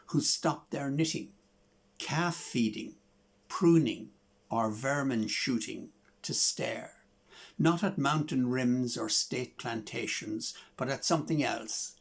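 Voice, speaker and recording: Older irish guy reading poems and introducing acts, slow talker, raspy husky voice. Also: medium pitch. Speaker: host introducing songs and reading his own poem. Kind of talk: poetry reading and scripted introductions.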